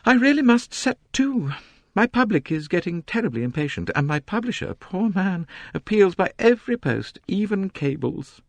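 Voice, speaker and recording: Man doing a pompous lady novelist, high complaining voice, gushing and self-important, loud, dry audiobook. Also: very animated, normal speed, creaky, no room sound, heavily acted.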